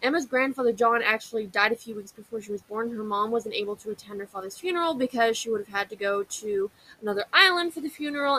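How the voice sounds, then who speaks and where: A woman's voice: fairly high pitch, pushed and bright, slight background noise, low-quality recording. A young woman hosting a history podcast, telling the story in a casual, chatty way, full of fillers and wry asides.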